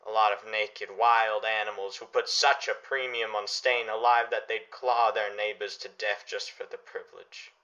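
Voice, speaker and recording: A bitter, brooding middle-aged householder in a radio drama, acted by a man. His voice is low yet forceful, speaking haltingly on a slightly dull recording. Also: steady tone. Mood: shaken, brooding. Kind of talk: radio play acting. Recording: dull lo-fi audio.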